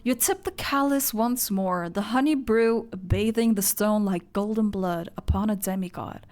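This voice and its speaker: A young woman streaming her reaction to a game story, chatting casually and swinging between squeals and flat rambling asides. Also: medium pitch, normal speed. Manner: excitable, gasps and squeals, then drops to flat rambling. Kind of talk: casual live reaction commentary. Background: game music.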